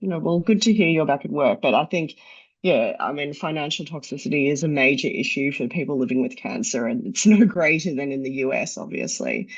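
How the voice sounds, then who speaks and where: A man with an Australian accent answering audience questions at a medical conference, measured, with lots of fillers. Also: fairly high pitch, lively intonation, husky, highs cut off. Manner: measured, hedging, many fillers.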